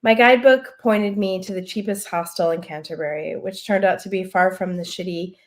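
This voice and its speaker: A woman author reading her own literary prose aloud over a video call, unhurried and soft-spoken, with casual asides. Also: medium pitch.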